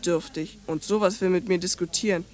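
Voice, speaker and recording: A woman's voice: lowish voice, steady tone, a little fast, a little mellow, close to an everyday voice. A woman voicing a precocious, bluntly scornful young French girl in a radio play, telling stories in bossy, chatty slang. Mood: scornful, boastful.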